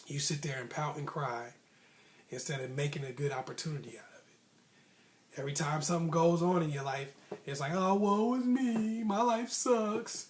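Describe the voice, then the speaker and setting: A man with a slightly high voice tells a personal story casually, like a vlogger talking to followers. His phrasing is colloquial and slangy, and he repeats lines to drive a motivational point home. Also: fairly even intonation, normal speed, slight background noise.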